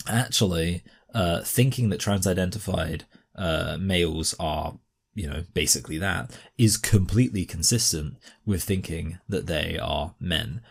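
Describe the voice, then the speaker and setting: A very low-pitched man hosts a commentary, pressing his points hard. His speech is full of "uh"s, "like"s and restarts. A slight cough sits in his voice. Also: gravelly, breathy edge. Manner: emphatic, many fillers and restarts. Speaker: commentary host. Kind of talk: argumentative commentary on a video.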